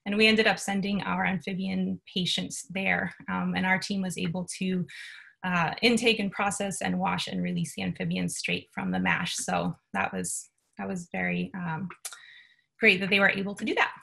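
A woman's voice: on the low side, fairly even intonation, normal speed, vocal fry, bright, forceful tone, breathy edge. A woman giving a spill response summary on a video call, with many fillers. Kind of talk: presentation over video call.

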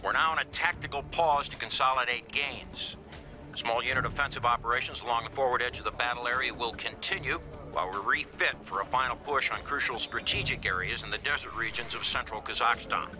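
A man acts a tough field commander in a war game. He is blunt, folksy and no-nonsense, speaking at a middling pitch with music playing behind him. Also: brisk, close to an everyday voice. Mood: tough, resolute. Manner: blunt, folksy, forceful wording. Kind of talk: military briefing in a video game.

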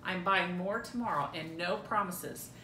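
A woman's voice: a little slow, pushed and bright. A middle-aged woman doing a dramatic read-through of a script as a mother, recorded indoors at home. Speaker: amateur reader in a family script reading. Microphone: camera a few feet away. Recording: roomy echo, clear low rumbling noise.

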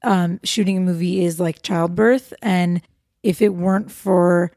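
Woman, from los angeles, film producer guest, chatty with fillers, warm low voice, dry studio sound. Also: steady tone, a little slow, no room sound. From Los Angeles, USA. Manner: eager, chatty, many fillers and restarts. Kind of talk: casual interview chat.

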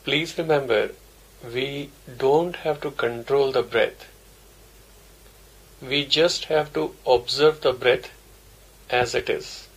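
A man's voice: mid-range voice, fairly even intonation, slow, long pauses, breathy edge, low-quality recording. A man teaching a guided meditation, giving simple instructions in short, repeated phrases. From India.

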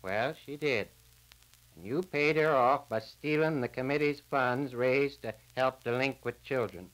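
A man's voice: mid-range voice, unhurried, fairly bright tone, natural voice. Actor as solemn, earnest small-town man, crackly radio drama. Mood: stern. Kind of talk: 1940s radio drama acting. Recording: crackly 1940s radio transcription disc.

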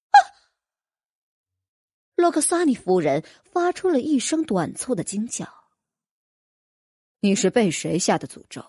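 A woman narrates a fantasy audiobook with lively, swinging intonation. Her voice sits slightly high and has a breathy huskiness. The delivery is dramatic and scripted, recorded close and dry. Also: dry recording.

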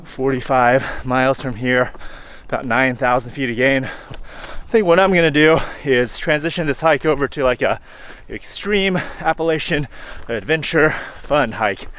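A man in his forties, hot and sweaty, talking casually to a handheld camera while hiking outdoors, relaxed and rambling with fillers. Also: medium pitch, measured pace, hardly any pauses. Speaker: hiking vlogger. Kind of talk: casual vlog talk to camera. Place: outdoors on a forest trail. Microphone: handheld camera held at arm's length.

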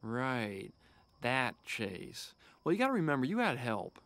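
A man voicing a cocky young race car in an animated game, with breezy, smug banter. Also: mid-range voice, a little slow, no room sound, exaggerated voice. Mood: casual, wry. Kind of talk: animated game cutscene acting.

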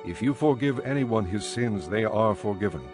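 A man voicing Jesus as a calm, gentle risen teacher, speaking in a measured, solemn way in a dramatized scripture reading. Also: fairly low pitch, lightly acted. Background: faint music.

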